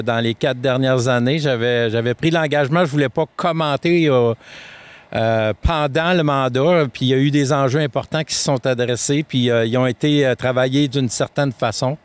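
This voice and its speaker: A man with a Québécois French accent, a political candidate answering interview questions in a measured way, with frequent hesitations. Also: medium pitch, smooth.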